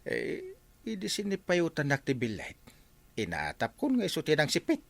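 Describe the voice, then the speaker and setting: A man acting an excitable village man in an Ilocano radio comedy drama, with an Ilocano accent. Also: a little high, normal speed, creaky, dry recording, heavily acted. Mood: explaining.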